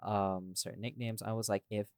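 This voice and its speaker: A man's voice: on the low side, level tone, moderate pace, creaky, darkish tone, no room sound, clean studio sound. A man hosting a podcast in an informal, conversational way. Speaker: podcast host, voice actor. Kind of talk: casual chat.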